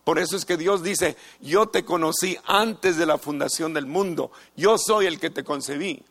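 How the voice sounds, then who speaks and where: A middle-aged man from the United States preaching a sermon in a slow, deliberate way, repeating key phrases and pausing between them. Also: a little high, fairly bright tone.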